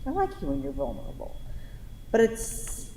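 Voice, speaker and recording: A woman's voice: deep voice, wildly swooping pitch, normal speed, dark tone. A woman reading the part of a blunt, confident lover in a staged play, frank and sardonic in manner. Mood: frank, gently firm. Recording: echoey theatre hall, faint noise.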